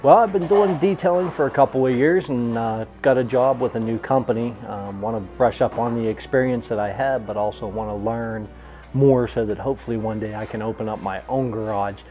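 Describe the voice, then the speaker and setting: A man's voice: mid-range voice, brisk. A man from Pennsylvania giving an earnest testimonial about his detailing training. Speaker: auto detailing student. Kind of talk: testimonial interview. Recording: slightly dull, muffled sound. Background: soft background music.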